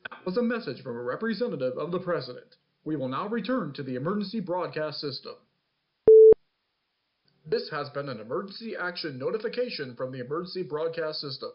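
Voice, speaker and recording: A man playing a formal, brisk emergency broadcast announcer reading a scripted notice. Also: fairly high pitch, expressive, dull audio, very noisy, lightly acted.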